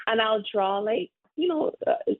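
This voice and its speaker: A woman's voice: a little high, lively intonation, measured pace. A woman in her thirties from Hawaii, a guest who talks in a friendly, rambling way with a light laugh. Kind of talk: casual interview. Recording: tinny phone line.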